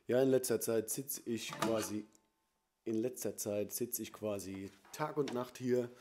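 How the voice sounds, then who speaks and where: A man's voice: lowish voice, a little slow, background noise. A man in his thirties from southwest Germany with a Kurpfalz accent, a singer-songwriter introducing himself casually to camera from a small home studio. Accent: Kurpfalz (Palatinate) German. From Ludwigshafen area, southwest Germany. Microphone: studio microphone on a stand in front of him.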